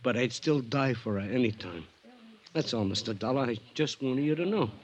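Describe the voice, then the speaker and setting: An actor playing a loyal, earnest, middle-aged small-time ex-crook in an old time radio serial, talking in halting, uneducated street speech. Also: male voice, mid-range voice, animated, lo-fi sound, very noisy, natural voice. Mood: pleading, earnest.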